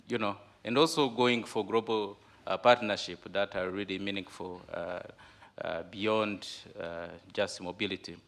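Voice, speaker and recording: A man moderates a conference panel in a very deep, gravelly voice. He speaks haltingly, with many fillers and restarts. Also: a bit bright.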